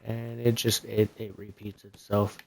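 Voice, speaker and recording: Soft-spoken man rambling through a game stream. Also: fairly low pitch, little pitch movement, measured pace, vocal fry. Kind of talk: casual game commentary.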